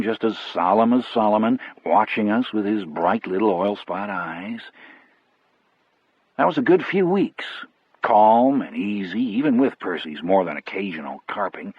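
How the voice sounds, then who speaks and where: A man's voice: on the low side, slightly rough, no room sound, phone-quality sound. A man narrating an audiobook in the first person, as a storyteller.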